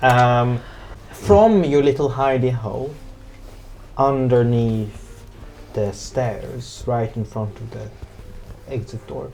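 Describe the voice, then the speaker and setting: Slow hesitant game master making up the story as it goes, medium pitch. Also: creaky, a little mellow, a little hiss or hum, low-quality recording. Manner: hesitant, halting. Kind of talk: improvised narration of a tabletop roleplay.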